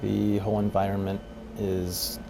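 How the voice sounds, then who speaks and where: A man's voice: fairly low pitch, monotone, measured pace. A man from the United States working as an air traffic controller, giving casual, plain-spoken interview answers about his job. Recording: clear ambient background noise.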